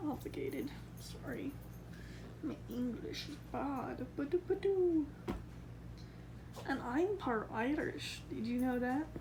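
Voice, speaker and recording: A woman's voice: sits high, slow, long pauses. A woman vlogging casually from her backyard and home, chatty and giggly, rambling as she goes. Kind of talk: casual vlog chat. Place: backyard outdoors, then indoors. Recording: noisy home video recording.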